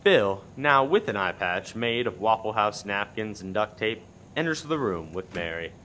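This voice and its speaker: A lowish-pitched male narrator played by an actor delivers scripted radio play action deadpan. Faint noise sits behind the voice. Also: moderate pace. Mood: deadpan narrating.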